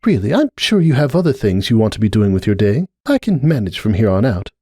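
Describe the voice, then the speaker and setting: A man's voice: on the low side, very animated, fast, dark tone, breathy edge, no echo, studio quality. A man voicing a kindly, flustered middle-aged mother pony in a dramatic story reading, hesitant and apt to trail off. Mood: relieved, grateful.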